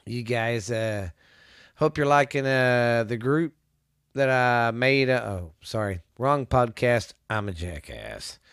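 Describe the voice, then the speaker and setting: A congested man hosting his own ghost podcast at an unhurried, drawling pace. He rambles along casually and now and then sinks into a low spooky whisper. The close mic sounds dry and dead, with no room around it. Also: animated, a bit bright, clear, resonant tone, no echo. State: stuffy, congested from sinuses. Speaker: podcast host.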